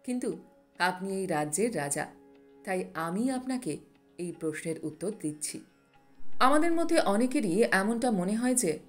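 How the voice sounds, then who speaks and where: A woman voicing a wise, calm male monk who counsels earnestly in a storybook narration. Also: mid-range voice, close to an everyday voice.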